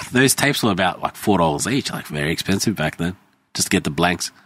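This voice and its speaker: A man's voice: low-pitched, lively intonation, fairly quick, sharp tone, breathy edge, no echo. A man in his forties with an Australian accent, Greek as his first language, telling stories in casual chat with swearing and acted-out quotes. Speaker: podcast host.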